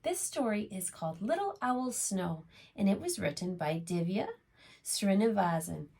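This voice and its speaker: A woman reading aloud to young children as a preschool storytime host, expressive and often putting questions to her listeners. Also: mid-range voice, unhurried, vocal fry, slightly rough.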